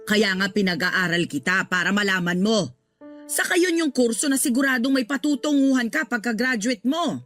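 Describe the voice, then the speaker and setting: A woman's voice: fairly high pitch, lively intonation, normal speed, a bit bright, soft background music. An actress playing a stern, exasperated middle-aged mother who scolds her children in a radio drama. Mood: indignant. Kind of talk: Tagalog radio drama acting.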